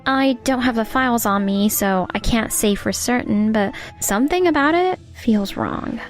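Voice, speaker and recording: Manga dub casting: actress as professional young secretary, fairly high earnest voice, cautious, soft music underneath. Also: female voice, moderate pace, lightly acted. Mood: hesitant. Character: careful, perceptive young female secretary. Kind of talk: manga dub dialogue acting. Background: faint background music.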